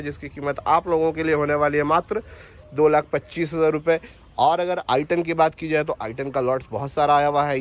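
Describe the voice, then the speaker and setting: A man narrating a promotional walk through used cars for sale, listing models and prices in a brisk, repetitive sales patter. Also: a little high.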